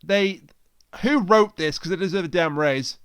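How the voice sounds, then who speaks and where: A man's voice: sits high, normal speed, fairly bright tone, clean tone. A man co-hosting a review podcast in casual conversation. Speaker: podcast co-host reviewing an audio drama. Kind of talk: casual chat.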